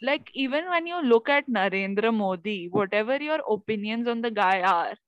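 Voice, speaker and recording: A woman's voice: a little high, lively intonation, normal speed, no room sound. A young woman chatting casually, filling her talk with "like" and "you know" as she leads the questions. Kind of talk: casual chat. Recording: dull lo-fi sound.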